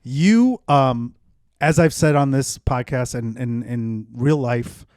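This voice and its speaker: A male comedian hosting his podcast, ribbing his guest with a dry, teasing manner. His voice is mid-pitched and ordinary for a man. It is recorded close with no room sound. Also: takes it slowly, darkish tone, clean tone, dry recording. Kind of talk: casual podcast banter.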